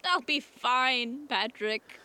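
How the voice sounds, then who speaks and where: A woman's voice: sits high, slow pace, sharp tone, clear, resonant tone, heavily acted. A woman playing an indignant, melodramatic young female prankster with mock-heroic airs in an audio drama.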